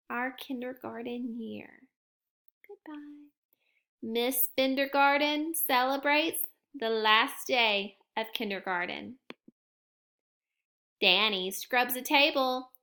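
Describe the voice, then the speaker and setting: Slow, bright-voiced woman reading a storybook. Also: high voice. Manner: gentle, expressive storytime reading. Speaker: kindergarten teacher. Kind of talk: reading a picture book aloud to children.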